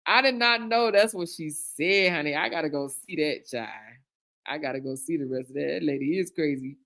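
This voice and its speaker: A woman's voice: low voice, brisk. Chatty woman reading viewer comments. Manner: chatty, calls viewers girl, honey, child; reads comments aloud with lively reactions. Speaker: live stream host reading viewer comments. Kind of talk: casual chat.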